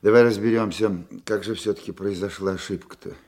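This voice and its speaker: Guy playing wry old-school police boss in a radio drama, deep measured voice, asks pointed questions. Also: fairly even intonation, a little mellow, lightly acted. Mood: thoughtful. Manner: measured, questioning, dryly authoritative. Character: calm seasoned male police investigation chief, methodical, wry. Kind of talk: Russian radio drama acting.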